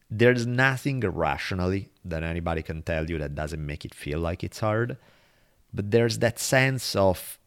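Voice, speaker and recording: A man with a low, deep voice and an Italian accent. He speaks in a casual, reflective podcast style. His manner is earnest and emphatic, and he swears freely. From Italy.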